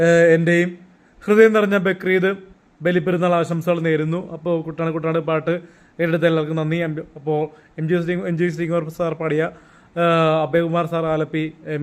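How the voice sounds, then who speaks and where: A man talking casually as a promoter, halting and repeating himself. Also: on the high side, clear, resonant tone. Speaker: song promoter. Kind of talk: casual promotional talk with fillers.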